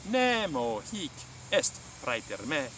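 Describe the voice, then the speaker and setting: A man voicing a menacing demon lord who taunts and tempts, declaiming slowly in a story. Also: fairly high pitch, huge pitch swings, fairly bright tone, a little hiss or hum, heavily acted. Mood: taunting, doubting.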